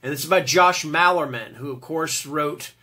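A man in his fifties talks through a casual book review in a small room at home, his nose stuffed up and congested. His voice sits high for a man and rings bright and forceful, and he rambles along with lots of fillers and restarts. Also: normal speed, breathy edge. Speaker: book reviewer, channel host. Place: small dim home room, seated indoors.

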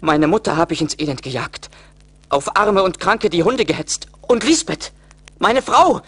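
An actor playing an emotional, plaintive young charcoal burner full of remorse in a radio play. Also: male voice, high-pitched, lively intonation, a little slow, fairly bright tone, husky, no room sound, heavily acted. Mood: anguished remorse. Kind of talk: theatrical radio play acting.

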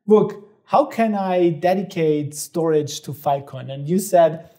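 Middle-aged male interview host, warm mellow tone, easygoing questioner. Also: fairly high pitch, unhurried. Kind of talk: interview hosting. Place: studio set with armchairs.

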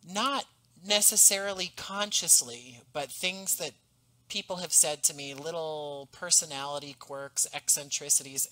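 A man in his thirties, from the US East Coast, being interviewed over a video call about the audio drama he created, speaking in a thoughtful, earnest, measured way into a headset mic. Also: a little high, pushed and bright, rough recording. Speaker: podcast creator and guest. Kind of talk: reflective conversational interview. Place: home room, on a video call. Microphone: headset boom mic.

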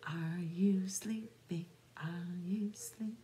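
A middle-aged woman reading bedtime nursery rhymes aloud to young children in a soft, singsong, drawn-out way. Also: fairly low pitch, steady tone. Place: small room at home, indoors. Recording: noticeable background room noise.

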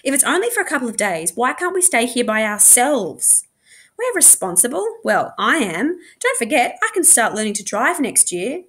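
A woman's voice: fairly high pitch, very animated, normal speed, fairly bright tone, pitched above the performer's own voice. A woman voicing a confident, cheeky teenage older sister in a storybook, self-assured and teasing.

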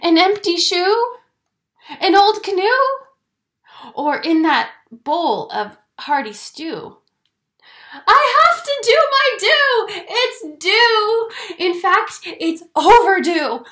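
A woman's voice: very high-pitched, sing-song, slow, fairly bright tone, pitched above the performer's own voice, heavily acted. A woman voicing a desperate, panicked little male creature from a children's picture book, pleading and exclaiming dramatically. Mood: panicked wailing. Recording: echoey room.

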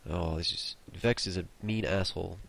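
Deep gravelly young man gaming. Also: fairly even intonation, normal speed, a bit bright, a little hiss or hum. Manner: rambling, joking, mildly profane. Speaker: gaming channel host. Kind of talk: casual co-op gameplay commentary.

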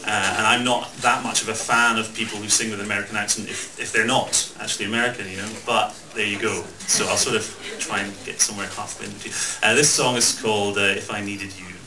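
A man's voice: on the low side, fairly even intonation, fast, few pauses, crowd noise. Hesitant Edinburgh man in a roomy club. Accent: Edinburgh Scottish. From Scotland. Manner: hesitant, rambling, self-deprecating. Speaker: folk singer introducing songs. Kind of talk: live stage banter between songs. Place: small folk club stage, indoors. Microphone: stand microphone, recorded by a distant camera. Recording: roomy, distant, noisy live recording.

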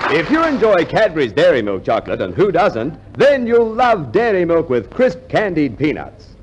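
A man's voice: on the high side, sing-song, moderate pace, crowd noise, background noise. A man announcing a scripted 1950s radio commercial. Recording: dull, lo-fi 1950s radio recording.

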